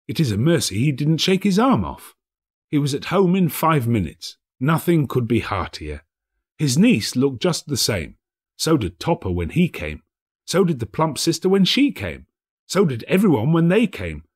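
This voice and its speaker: A man narrating a classic story in a theatrical, expressive and emphatic scripted reading. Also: mid-range voice, husky. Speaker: audiobook narrator, actor.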